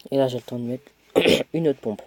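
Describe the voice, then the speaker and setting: A man's voice: mid-range voice, moderate pace. Young dude with a stuffy cold talking over his game, jokes at himself, mutters moves under his breath. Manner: chatty, self-mocking, mutters while playing. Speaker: gamer commentating his own play. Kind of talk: casual gameplay commentary.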